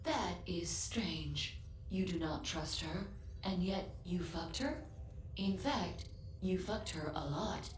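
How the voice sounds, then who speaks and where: A loyal female android speaks in a lowish, echoing voice over faint noise. She is formal, precise and matter-of-fact, a measured, literal-minded ship's assistant from a sci-fi game. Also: animated. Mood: composed, analytical. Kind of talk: scripted video game voice acting.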